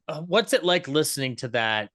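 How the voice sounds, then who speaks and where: A man's voice: on the high side, lively intonation, moderate pace, fairly bright tone, no room sound, clean studio sound. A man hosting a casual panel conversation with a playful, enthusiastic manner. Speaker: host, moderator.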